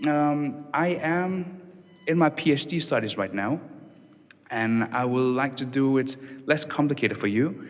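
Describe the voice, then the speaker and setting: A man's voice: mid-range voice, a little slow. Danish-born man speaking in an echoey church. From Denmark. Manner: relaxed, conversational, many fillers and restarts. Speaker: doctoral researcher giving a talk. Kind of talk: conference presentation. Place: church hall. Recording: long reverb of a church hall.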